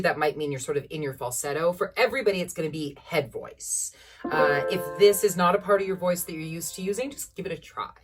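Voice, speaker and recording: A woman voice teacher with a deep, low speaking voice talks learners through a warm-up. She sounds a little away from the mic in a small room, with soft keyboard notes now and then. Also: recorded from a distance. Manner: encouraging, instructive, demonstrates vocal sounds. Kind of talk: leading a singing warm-up. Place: small room at home with a piano.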